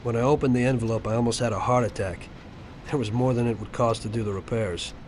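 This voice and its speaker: An actor playing a nervous, honest taxi driver in a video game. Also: male voice, mid-range voice, fairly even intonation, fast talker, a little mellow, slight background noise, close to an everyday voice. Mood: reflective narrating. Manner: hesitant, stammering when uneasy. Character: nervous, honest male taxi driver, reluctant around gangsters.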